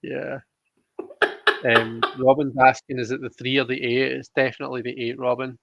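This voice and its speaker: A man's voice: mid-range voice, little pitch movement, moderate pace, gravelly, fairly bright tone. A man hosting a casual live stream, reading out viewer comments, in a dull recording with room echo. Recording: dull lo-fi sound, roomy echo.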